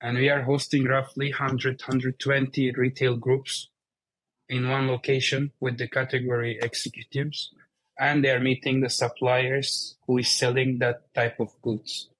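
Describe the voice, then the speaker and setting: Turkish-accented man speaking in a flat, even tone. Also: medium pitch, a little slow, low-quality recording. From Turkey. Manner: explains plainly in non-native English. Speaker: guest, company CEO. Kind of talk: casual interview conversation.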